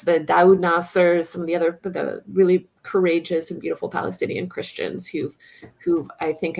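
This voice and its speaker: Gravelly-voiced woman, earnest and halting. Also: low voice. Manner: earnest, halting, searching for words. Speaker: panel guest. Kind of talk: reflective panel discussion.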